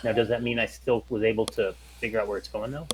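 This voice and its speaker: A man's voice: lowish voice, fairly even intonation, fast talker, a little hiss or hum, low-quality recording. A man chatting casually as a player in a tabletop role-playing game.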